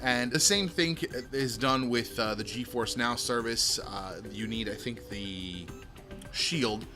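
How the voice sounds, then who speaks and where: A man hosting a tech show in casual, rambling commentary with a loud speaking voice, often repeating and restarting his phrases, close to a microphone. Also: mid-range voice, slow, music in the background. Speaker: tech video host. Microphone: microphone close in front.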